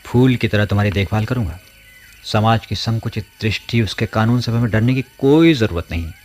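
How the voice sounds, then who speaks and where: A man's voice: lowish voice, brisk, a little mellow, slight background noise, lightly acted. A man acting an ardent but uneasy village lover in a Hindi radio play, theatrical and fervent.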